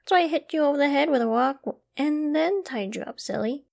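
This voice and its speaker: A woman's voice: high voice, lively intonation, fairly quick, a little mellow, exaggerated voice. A woman playing an obsessive, lovesick young survivor in an intimate roleplay drama, sweetly controlling, affectionate and possessive. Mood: smug, playful. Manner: affectionate, giddy, possessive, with breathy sighs and giggles.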